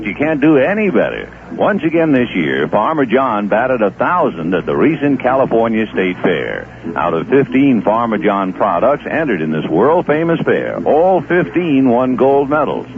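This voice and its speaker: A man reading a 1970s radio commercial as a conversational sales pitch. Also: sing-song, normal speed, few pauses, narrow, tinny audio. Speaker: commercial announcer. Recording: thin, slightly noisy old radio recording.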